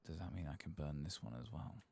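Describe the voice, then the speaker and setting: A man giving soft-spoken, partly whispered ASMR commentary over a video game, murmuring and thinking aloud in an unhurried way. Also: extremely low pitch, creaky, slightly rough. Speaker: ASMR gaming streamer.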